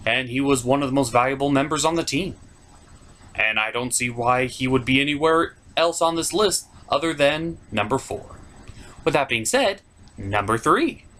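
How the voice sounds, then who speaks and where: A man's voice: mid-range voice, animated, moderate pace, fairly bright tone. A man hosting a top 10 countdown, giving opinionated video game commentary in an informal, emphatic way. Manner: informal, emphatic, with mild swearing.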